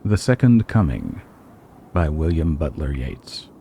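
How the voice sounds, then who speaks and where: A man performing a solemn, theatrical poetry recitation in a grave, drawn-out delivery. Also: very deep voice, wildly swooping pitch, creaky, dark tone, a little hiss or hum, voice pushed down, exaggerated voice. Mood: grave, foreboding. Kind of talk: dramatic poetry reading.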